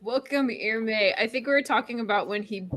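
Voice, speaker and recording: A woman's voice: a little high, moderate pace, fairly bright tone. A woman co-hosting a book discussion, talking casually in an informal, slangy storytelling way. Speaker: co-host of a read-along discussion.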